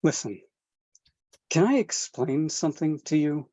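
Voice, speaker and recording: A man's voice: a little high, animated, mellow, lo-fi sound. An actor at a table read playing a guilt-ridden estranged father who stammers. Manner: halting, stammering.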